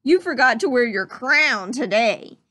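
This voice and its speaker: Woman as a mocking soldier, very animated. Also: a little high, measured pace, no echo, full character voice. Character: scoffing, bullying male castle soldier. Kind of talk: children's storybook read-aloud.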